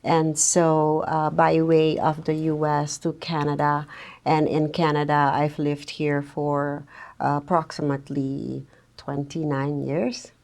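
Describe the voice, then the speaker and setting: Slow, gravelly Surinamese woman guest. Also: sits low, flat delivery, a little mellow. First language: Dutch. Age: middle-aged. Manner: slow, reflective, thoughtful with frequent pauses. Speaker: guest social worker. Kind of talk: podcast interview.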